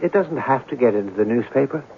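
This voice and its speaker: An actor playing an earnest, romantic newspaper reporter in a radio mystery, with a deliberate, theatrical delivery. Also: male voice, mid-range voice, lively intonation, husky. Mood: probing, earnest. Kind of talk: 1970s radio drama narration and dialogue. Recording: tinny, noisy old radio broadcast.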